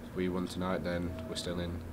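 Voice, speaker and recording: A young man answers casually into a desk mic at a post-game press conference. His voice sits very low and stays flat, and a clear hiss runs behind it. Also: a little fast. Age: young adult. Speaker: player. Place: small press room. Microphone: desk mic on stand.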